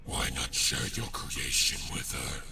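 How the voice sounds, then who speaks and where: A man voicing a cruel, insidious monster of despair with a deep, gravelly voice and a slow, taunting manner in a dramatic fan fiction reading. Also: heavy background noise, close to an everyday voice.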